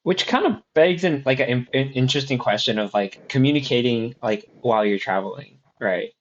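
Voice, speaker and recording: Male podcast co-host, casual, halting and filler-heavy, mid-pitched, slightly lo-fi audio. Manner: rambling, many fillers and restarts. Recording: slightly dull lo-fi sound.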